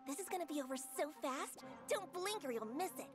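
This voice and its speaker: A cocky young beast tamer from a video game. The voice is very high and swings a lot, brash and combative. Music plays behind it. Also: female voice, expressive, fairly quick. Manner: brash, exclamatory.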